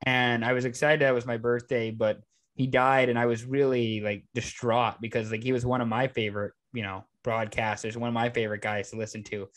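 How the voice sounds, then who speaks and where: Young American man, medium pitch, casual host. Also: level tone, a little fast, a bit bright. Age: 20s. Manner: informal, peppers speech with "like". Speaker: podcast host. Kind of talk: casual interview chat.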